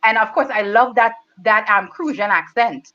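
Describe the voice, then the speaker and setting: A woman's voice: on the high side, moderate pace, sharp tone, muffled recording. A woman hosting an online talk show, chatty and gushing, calling the audience guys and honey. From Bahamas. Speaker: host.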